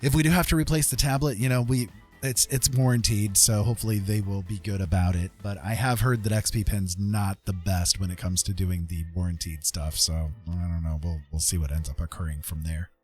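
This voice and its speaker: A man's voice: sits low, steady tone, fairly quick, darkish tone. A man chatting casually on a live stream as he draws, hesitant and self-effacing, thinking aloud with tutorial-like asides. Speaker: streamer and digital artist. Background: faint music.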